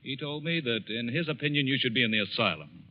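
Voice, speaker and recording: A dismissive male editor voiced by an actor in an old radio play, brisk and mocking. His pitch moves a lot, and the recording has a dull, lo-fi broadcast sound. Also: animated, lightly acted. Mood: scoffing. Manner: scoffing, brisk. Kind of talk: 1940s radio acting.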